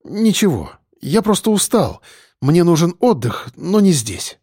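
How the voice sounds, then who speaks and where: Guy reading as stressed grown son, urgent and impatient, slightly high voice, super dry recording, audiobook. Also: very animated, a little mellow, no echo, exaggerated voice. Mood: weary. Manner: hurried, breathy exhaling, insistent.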